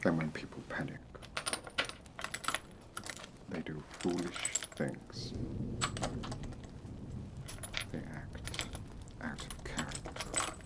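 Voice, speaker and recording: A performer voicing a stern, sardonic potions professor in a whispered ASMR roleplay, slow, deliberate and condescending, with clipped commands. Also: extremely low pitch, fairly even intonation, recorded from a distance, very noisy. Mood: cold, reproachful. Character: stern, sardonic potions professor interrogating students at wizard school.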